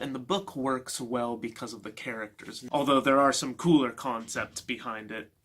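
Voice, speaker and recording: A casual, halting male book vlogger. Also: mid-range voice, pushed and bright. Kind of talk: casual unscripted review chat.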